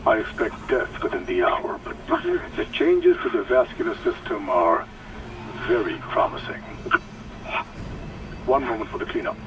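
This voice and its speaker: An actor playing a fanatical, soft-spoken villain doctor in a video game, speaking in a theatrical, measured, politely menacing way. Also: male voice, fairly low pitch, noisy background, natural voice. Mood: clinical coldness. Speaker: mad scientist villain. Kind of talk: video game cutscene acting.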